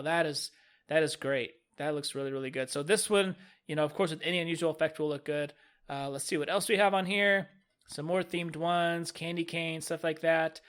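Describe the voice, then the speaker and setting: A fairly high-voiced man, audibly stuffed up with a cold. He talks through a game update in an upbeat, casual way, often doubling words for emphasis. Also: a bit bright. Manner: upbeat, enthusiastic, repeats "really, really". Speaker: gaming YouTuber.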